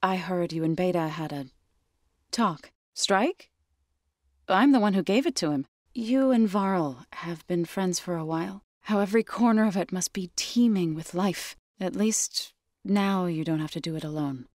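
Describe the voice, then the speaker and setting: Low-pitched, earnest warrior woman, played by an actress. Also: normal speed, darkish tone, no echo, clean studio sound, natural voice. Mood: earnest, steady. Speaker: video game character. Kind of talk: scripted video game dialogue.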